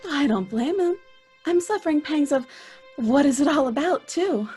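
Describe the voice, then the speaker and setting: A sweet young fiancée in an old-fashioned radio play. Her high voice is doting and coaxing, a little melodramatic, over music. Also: expressive, normal speed, warm tone. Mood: playful. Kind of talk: old-time radio drama acting. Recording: music under the voice.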